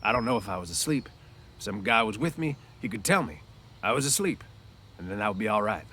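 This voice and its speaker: A man's voice: lowish voice, very animated, quick pace, bright, forceful tone, breathy edge, heavily acted. A man voicing a lonely, bitter, scornful stable hand in a storybook, with folksy, ungrammatical ranch speech. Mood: wistful, brooding.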